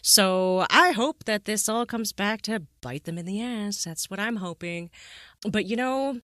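A woman's voice: medium pitch, expressive, measured pace, a bit bright, no room sound, studio quality. A woman hosting a podcast with casual, opinionated talk, emphatic and repeating phrases for stress. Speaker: podcast host.

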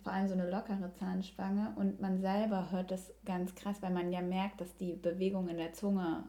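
A woman's voice: medium pitch, flat delivery, moderate pace, dark tone. A young woman chatting casually and reflectively as a podcast guest. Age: young adult. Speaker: podcast guest, yoga teacher and coach.

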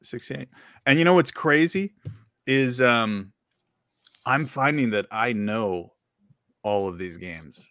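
A man's voice: medium pitch, slow pace, pauses often, fairly bright tone, dry recording, clean studio sound. A middle-aged man co-hosting a podcast, chatting casually with lots of fillers and laughs. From Virginia. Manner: rambling, filler-heavy, laughs often. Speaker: podcast co-host. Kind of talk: casual chat.

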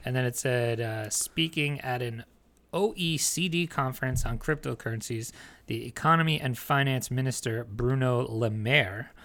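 Bright-voiced man co-hosting a podcast. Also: medium pitch, normal speed. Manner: laughs often, reads news aloud with many fillers. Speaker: podcast co-host. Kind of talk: casual chat.

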